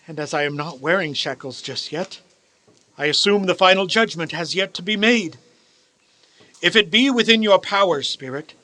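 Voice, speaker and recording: Radio play lead: old miser turned earnest, male actor, high bright voice, grandly emphatic. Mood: desperate, pleading. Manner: dramatic, emphatic, formal old-fashioned phrasing. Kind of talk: theatrical radio play acting.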